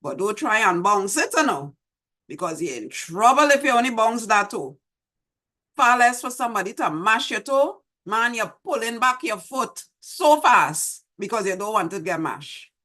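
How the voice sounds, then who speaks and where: A middle-aged woman with a Trinidadian accent, hosting an online show and gently reminiscing as she reads her poem, recorded over a video call from a small room at home. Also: fairly high pitch, lively intonation, normal speed. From Trinidad and Tobago. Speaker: host. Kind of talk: reflective talk and poetry reading. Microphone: video call webcam.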